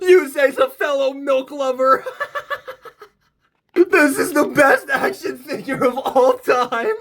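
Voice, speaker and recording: A man giving an excited unboxing review, gushing and emphatic as he talks. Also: very high-pitched. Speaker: toy reviewer.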